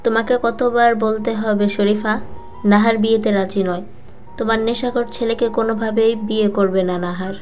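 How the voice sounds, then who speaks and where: Woman voicing a blunt, sharp-tongued old grandmother, muffled. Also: on the high side, steady tone, moderate pace, close to an everyday voice. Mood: firm, irritated. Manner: blunt, mocking. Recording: muffled lo-fi voice track with music.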